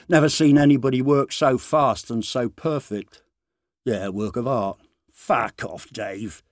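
A man's voice: mid-range voice, a little slow, dry recording, clean studio sound, natural voice. A man voicing a gossiping, foul-mouthed building-site worker in a short story, grudging and mocking, with blunt, clipped banter. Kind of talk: dramatised short-story dialogue.

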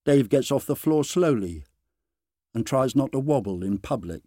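A man narrating a short story dramatically, with deliberate phrasing and dramatic pauses. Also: medium pitch, animated, mellow, no room sound, clean studio sound.

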